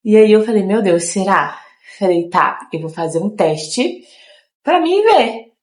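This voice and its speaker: A woman's voice: mid-range voice, lively intonation, unhurried, a little mellow. A young woman from Brazil chatting casually to her viewers, a little nasal from a cold and often repeating herself. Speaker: vlogger. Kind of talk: casual chat to camera. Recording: echoey room with faint guitar music.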